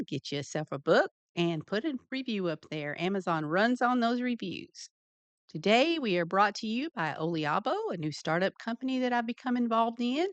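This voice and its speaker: A woman's voice: medium pitch, no room sound. A woman hosting an interview show, welcoming her guest with upbeat enthusiasm. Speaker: host. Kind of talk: interview host, promoting sponsor.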